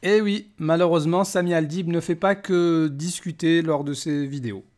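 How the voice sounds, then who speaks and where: A man's voice: a little high, steady tone, normal speed, darkish tone. A man hosting a polemical commentary, talking in a mocking, combative and emphatic way. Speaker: YouTube host.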